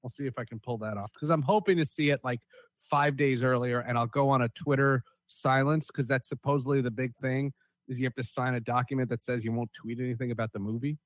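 A man hosting a podcast in casual chat, excitable and rambling, repeating words for emphasis. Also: medium pitch, fast, dry recording. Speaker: podcast host.

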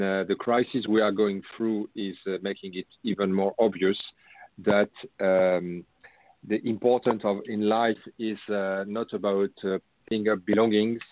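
A man from France with a French accent, giving conversational interview answers and pausing often mid-sentence. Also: fairly low pitch, no echo. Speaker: interview guest, company founder. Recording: roomless, narrow phone-line sound.

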